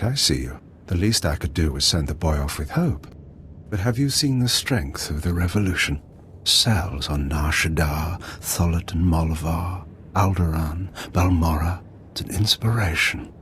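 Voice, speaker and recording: An actor playing a bitter, grandiose revolutionary leader in a video game, speaking in deliberate, theatrical speeches. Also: male voice, low-pitched, a bit bright, slight background noise. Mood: weary resolve.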